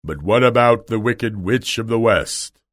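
A man voicing a great and terrible wizard, imperious, pompous and drawn-out, in a children's storybook. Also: lowish voice, a bit bright. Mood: evasive. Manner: slow, drawn-out, pompous delivery.

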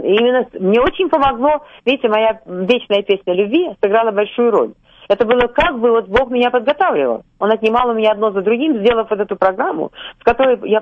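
A woman's voice: mid-range voice, dry recording. Older russian singer lady on the radio, emotional, lots of ums, dry tinny phone sound. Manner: emotional, drawn-out fillers, slips into English words. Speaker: singer guest. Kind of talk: radio interview.

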